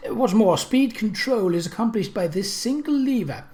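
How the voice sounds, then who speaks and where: A narrator voicing a disdainful, earnest English gentleman motorist who lectures precisely, in a storybook reading. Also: medium pitch, animated, normal speed, voice pushed up, close to an everyday voice. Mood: fervent. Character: tall thin English steam-car driver, disdainful and fervent.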